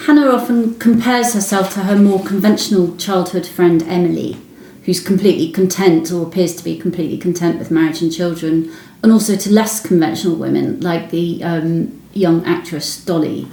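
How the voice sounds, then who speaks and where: A woman with a dark, mellow, slightly low voice. She is the interviewer in a book discussion, recorded in a roomy space with clear echo. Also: normal speed, a little hiss or hum. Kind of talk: book interview questions and comments. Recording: roomy, echoing indoor sound.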